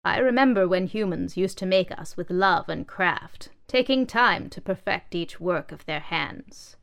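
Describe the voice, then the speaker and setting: A woman's voice: lowish voice, a little slow, gravelly, a bit bright. A woman voicing a solemn old wooden table.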